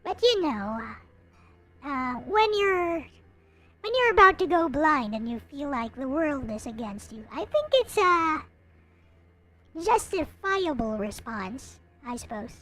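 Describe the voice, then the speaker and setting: A woman reading a visual novel aloud in an expressive, theatrical way, with some casual commentary. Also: high-pitched, slow pace. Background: background music.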